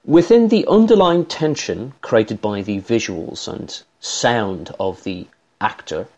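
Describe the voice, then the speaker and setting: Man, Irish accent, low voice, measured formal narration, analytical commentary. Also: lively intonation, a little mellow, dull audio.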